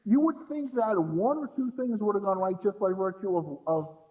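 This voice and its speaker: A man's voice: high voice, moderate pace, mellow, lo-fi sound. A man talking casually about films as a podcast guest, in an incredulous, emphatic way, often repeating words for emphasis. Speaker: podcast guest and film commentator. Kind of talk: casual film discussion.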